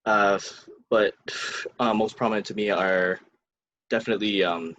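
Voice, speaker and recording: A young man from Hawaii talking on a casual student podcast, hesitant and halting, with many pauses. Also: on the low side, fairly even intonation, a bit bright, lo-fi sound, a little hiss or hum. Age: young adult.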